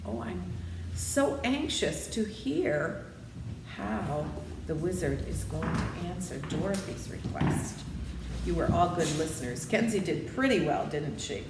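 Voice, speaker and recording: A woman's voice: lowish voice, sing-song, a little slow. A woman reading a children's story aloud, with friendly asides to her listeners. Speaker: storybook reader. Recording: roomy echo with background noise.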